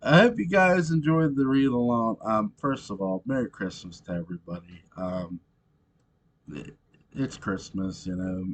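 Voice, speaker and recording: A man giving a theatrical, measured read-aloud of comic holiday verse, like a storyteller. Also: medium pitch, expressive. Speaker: host of a fan channel reading aloud.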